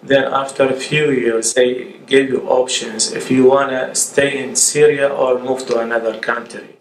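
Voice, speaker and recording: A middle-aged man, speaking haltingly in a documentary interview. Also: medium pitch, little pitch movement. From Iraq. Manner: halting, hesitant delivery. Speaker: immigrant interviewee. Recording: roomy room, distant mic, faint noise.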